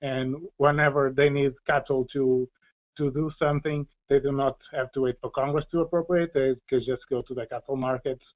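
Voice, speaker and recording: A man with a flat, little-varying pitch gives explanatory answers as an expert on a panel. He often pauses to search for his words. The sound is thin and band-limited, like a phone call. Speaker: policy expert answering questions.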